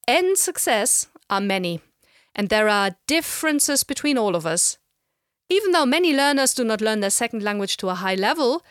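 A middle-aged woman with a slight German accent, giving a measured, explanatory lecture. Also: a little high, no room sound, studio quality. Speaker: language educator. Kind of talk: scripted lecture narration.

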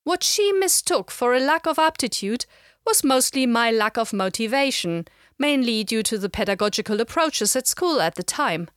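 A middle-aged woman with a slight German accent, giving a measured, explanatory lecture. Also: fairly high pitch, animated, a bit bright, no room sound, clean studio sound. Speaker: language educator. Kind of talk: scripted lecture narration.

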